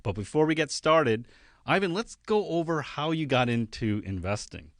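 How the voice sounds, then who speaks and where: A man's voice: a little high, big pitch swings, normal speed, no echo. A man hosting a studio investing podcast, upbeat and affirming as he interviews his guest. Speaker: podcast host.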